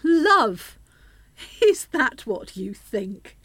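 A woman's voice: fairly high pitch, big pitch swings, very slow, fairly bright tone, no room sound, full character voice. A woman playing a bitter, harsh older widowed mother in a radio play. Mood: bitter, outraged.

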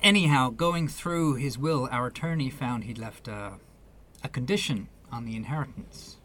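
A man playing an awkward, polite visitor in a radio play, speaking in a hesitant, halting, apologetic way. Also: medium pitch, a bit bright, a little hiss or hum, natural voice. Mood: halting, sombre. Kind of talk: naturalistic radio play acting.

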